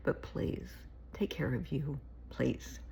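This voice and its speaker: A woman therapist giving casual, earnest advice to camera, her voice gravelly and shaky from an oncoming cold, often pleading and repeating herself. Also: a bass voice, slow, mellow, slight background noise. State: getting a cold.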